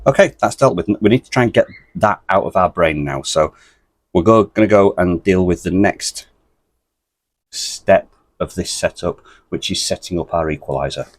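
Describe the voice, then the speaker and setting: A British man presenting a step-by-step ham radio tutorial in a deep, bassy voice, steady and methodical with a few ums. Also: normal speed, no echo. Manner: steady, methodical, explains with fillers.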